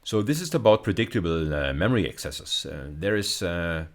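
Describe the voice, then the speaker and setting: Low-pitched male lecturer. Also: expressive, normal speed. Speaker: university lecturer. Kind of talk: video lecture on technical material.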